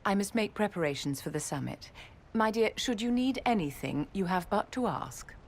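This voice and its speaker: A woman's voice: medium pitch, animated, normal speed, close to an everyday voice. An actress playing a poised, imperious female religious leader in a video game, haughty and polished, with teasing endearments. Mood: composed, gracious. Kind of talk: video game cutscene acting. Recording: long hall reverb, faint noise.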